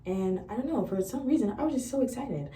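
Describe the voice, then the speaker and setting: A woman's voice: on the high side, fast talker, a little hiss or hum. A young woman in college vlogging, chatting casually about her life and acting out past conversations. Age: young adult. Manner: chatty, animated retelling of conversations. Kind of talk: casual storytelling to camera. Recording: echoey room, voice a bit far from mic.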